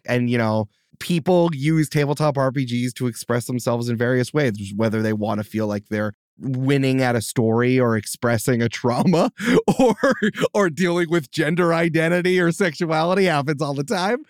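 A man, a game master and podcast guest, explaining with enthusiastic, quick asides in casual chat. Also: medium pitch, no echo, studio quality.

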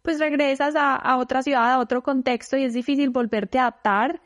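A woman host from Medellín, Colombia, leads the interview with a slightly high voice. She speaks in a measured, reflective way as she asks her questions and adds her own thoughts. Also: fairly even intonation. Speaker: podcast host.

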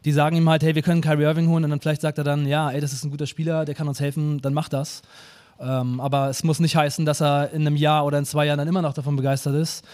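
Man, slightly high-pitched, colloquial, casual sports discussion, live podcast. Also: little pitch movement, racing, a little mellow. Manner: colloquial, slangy. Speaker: podcast guest. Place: live stage show.

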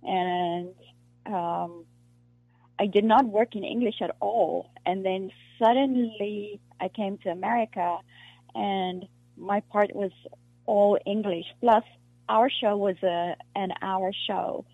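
A woman from South Africa, an actress being interviewed, talking in a halting way with many restarts and fillers. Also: medium pitch, steady tone, dry recording. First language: Afrikaans. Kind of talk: casual interview conversation. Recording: muffled lo-fi dry recording.

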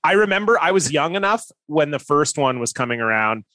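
A man's voice: fairly high pitch, big pitch swings, a little fast, pushed and bright, no echo. A man in his thirties hosting a podcast, rambling and self-deprecating, swearing casually. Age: 30s. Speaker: podcast host.

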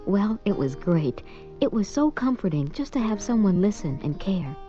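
A woman's voice: medium pitch, big pitch swings, moderate pace. A young adult woman giving a confiding, scripted personal testimonial for a radio spot. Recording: slightly dull broadcast with music. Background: soft music.

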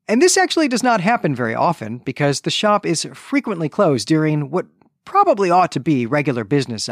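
A man's voice: fairly high pitch, no room sound, clean studio sound. A man hosting a podcast, giving a conversational, bookish literary recap with wry asides. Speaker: podcast host.

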